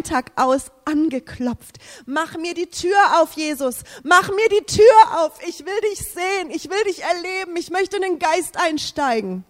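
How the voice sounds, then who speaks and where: A woman with a high voice gives a fervent faith testimony, repeating herself and sometimes hunting for words. She is not a native speaker of the language. Her voice rings with a long hall reverb. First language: English. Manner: fervent, repetitive, searching for words.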